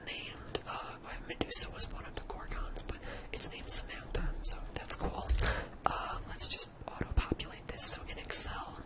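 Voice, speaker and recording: Whispered, casual ASMR tutorial voice. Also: rough recording. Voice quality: breathy unvoiced whisper. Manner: casual, thinking aloud while working. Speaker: host of an ASMR spreadsheet tutorial.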